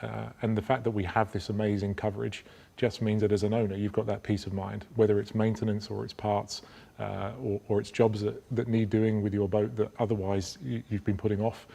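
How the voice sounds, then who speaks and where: A man answering interview questions about a yacht dealer network, measured, with frequent ums and restarts. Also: fairly low pitch, flat delivery, gravelly, mellow, breathy edge, long reverb tail. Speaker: company spokesman.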